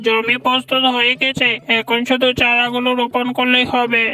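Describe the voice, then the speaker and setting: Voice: medium pitch, monotone, moderate pace, sharp tone, lightly acted. A performer voicing a bossy but fair uncle tiger landowner in a cartoon, giving instructions in a woman's-sounding voice. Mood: commanding. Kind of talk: cartoon voice acting. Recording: distant voice with music underneath.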